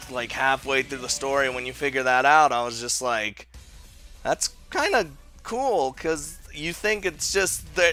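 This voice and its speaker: A man's voice: on the high side, bright, forceful tone. A man giving casual, informal video commentary as a game reviewer, talking in an enthusiastic, rambling way. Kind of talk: casual top-five list commentary.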